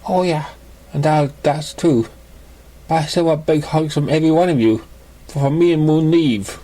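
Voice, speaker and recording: A sweet, clingy mare from a pony storybook, acted out by a man, speaks up high. She is affectionate and openly attached to her friends. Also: normal speed, warm tone, lightly acted.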